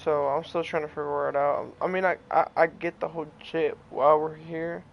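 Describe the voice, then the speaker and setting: A young man streams a game in a slightly high, gravelly voice. He chats casually and slangily, often stopping and repeating words, with faint noise behind him. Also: level tone, lo-fi sound. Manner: halting, repetitive, slangy. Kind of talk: casual livestream chat.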